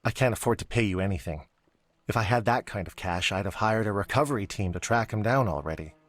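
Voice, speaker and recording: A man's voice: fairly low pitch, animated, quick pace, fairly bright tone, no room sound. A man playing a worried, plain-spoken civilian in a video game, talking earnestly in an everyday manner. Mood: worried, hopeful. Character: worried working man seeking his missing brother.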